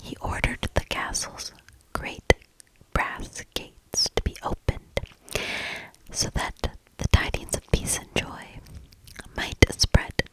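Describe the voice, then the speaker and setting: A woman whispering a fairy tale as ASMR, soft-spoken and deliberate, with measured storybook phrasing. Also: low-quality recording.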